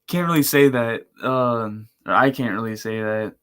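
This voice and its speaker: A man with a monotone, chill voice, rambling casually and slangily as a live streamer. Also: medium pitch, moderate pace, smooth. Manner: laid-back, chill. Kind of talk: casual livestream chat.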